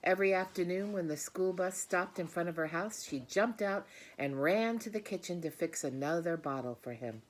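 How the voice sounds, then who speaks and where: A woman's voice: on the low side, normal speed, rough recording. A woman reading a children's book aloud, speaking directly to her listeners with explanatory asides. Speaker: storybook reader.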